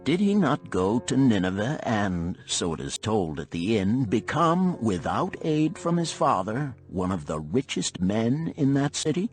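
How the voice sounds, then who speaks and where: A man voicing an earnest, animated musician of ancient Babylon in a storybook parable, with archaic, oratorical phrasing. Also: lowish voice, measured pace, hardly any pauses, soft background music. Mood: eager.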